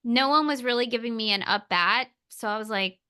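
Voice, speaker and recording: A woman hosts a casual interview with gushing enthusiasm, winding her way through long questions. Her voice sits a little high, bright and ringing with a smooth, crisp clarity. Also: brisk. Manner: enthusiastic, effusive, rambling questions. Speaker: interview host. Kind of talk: conversational interview.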